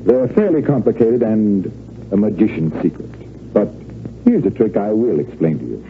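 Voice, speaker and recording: Cool-headed stage magician, played by a man, low lively voice, 1940s radio style, tinny recording. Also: moderate pace, lightly acted. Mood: smug, teasing. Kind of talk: 1940s radio drama acting. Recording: tinny, narrow, noisy old radio recording.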